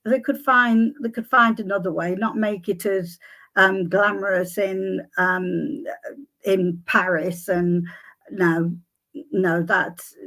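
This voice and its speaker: Slow, hesitant older Englishwoman, a bit croaky. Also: medium pitch, fairly even intonation, fairly bright tone. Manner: hesitant, many ums and restarts. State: slightly croaky voice. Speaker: psychic guest. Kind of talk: conversational interview answers.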